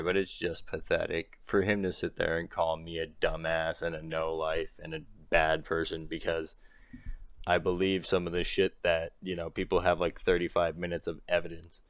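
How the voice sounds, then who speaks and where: Young guy ranting on his channel, really low voice a bit thrashed, dry close sound, keeps laughing it off. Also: no echo. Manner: exasperated, rambling, laughs often between points. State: strained throat. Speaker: commentary creator. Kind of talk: ranting commentary.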